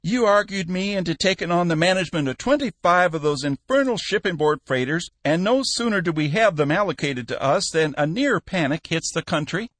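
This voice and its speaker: A man putting on a shrill, cantankerous old tycoon. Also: expressive, brisk, few pauses, fairly bright tone, no room sound. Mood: irate, sarcastic. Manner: shrill, scolding, blustering. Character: cantankerous, bossy old shipping tycoon, male.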